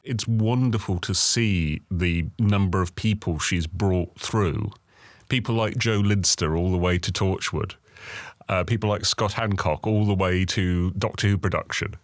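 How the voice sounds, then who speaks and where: Low-voiced man reflecting in an interview. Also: a little slow, no echo, studio quality. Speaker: writer, creator of the character.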